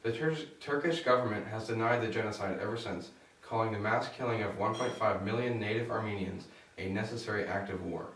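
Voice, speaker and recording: A man's voice: on the low side, fairly quick, fairly bright tone, a little hiss or hum. A young man reading a scripted report as a student reporter. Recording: echoey room, distant mic.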